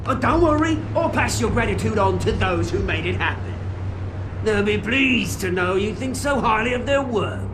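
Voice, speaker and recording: A smug crime boss, theatrical and mocking, lively swings in pitch, noisy game mix. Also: male voice, normal speed. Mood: taunting. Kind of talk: video game cutscene acting.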